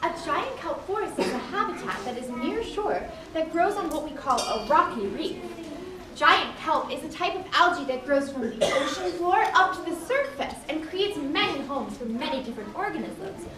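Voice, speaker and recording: A woman's voice: sits high, expressive, moderate pace. A woman performing an enthusiastic, knowledgeable guide who explains things in a live children's puppet show. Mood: instructive. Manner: instructive, clear explanations. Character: enthusiastic, knowledgeable guide teaching a young explorer. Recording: echoey hall, distant mic, audience noise.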